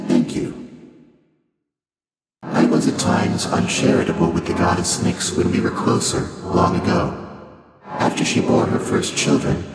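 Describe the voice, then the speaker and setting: A man voicing an ancient, detached primordial deity in a video game, speaking formally and deliberately with breathy, otherworldly layered processing. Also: sits low. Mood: faintly amused. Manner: formal, measured, solemn, deliberate phrasing. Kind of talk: video game character dialogue. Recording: cavernous long reverb, loud game music behind.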